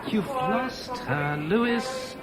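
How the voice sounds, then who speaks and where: An actor playing a shrewd, suspicious government agent, probing and emphatic, in a 1970s radio drama. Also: male voice, very high voice, lively intonation, very slow, a crowd in the background, full character voice, low-quality recording. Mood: urgent, warning. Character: shrewd, suspicious male British government agent, urgent and forceful.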